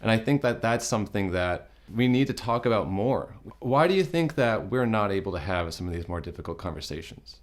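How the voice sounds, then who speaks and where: A man hosting a studio interview show, asking measured questions in a welcoming manner. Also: on the low side, animated. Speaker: host.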